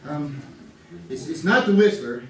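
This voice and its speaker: A man's voice: fairly high pitch, lively intonation, measured pace. A man playing along as a quiz show contestant, flustered and stammering as he gropes for an answer. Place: live stage before an audience. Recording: echoey, distant, faint noise.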